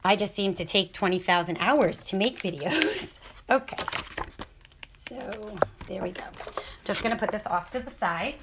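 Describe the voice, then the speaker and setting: A woman's voice: mid-range voice, animated, unhurried. A woman with a scratchy voice demonstrating paper crafts, chatty and apologetic, often murmuring half to herself as she works. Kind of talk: casual hands-on craft tutorial.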